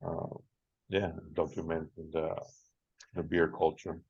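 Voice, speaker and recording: Very deep-voiced Venezuelan man, husky. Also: little pitch movement, slow, darkish tone, no echo, dull audio. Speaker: panelist, beer writer. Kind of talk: personal account on a panel.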